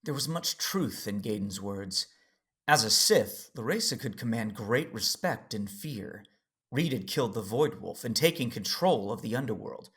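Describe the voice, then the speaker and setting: A man playing an earnest, worried Jedi father who tells his story in serious, deliberate audio drama narration. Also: mid-range voice, expressive, fairly bright tone. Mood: resolved. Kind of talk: first-person audio drama narration and dialogue.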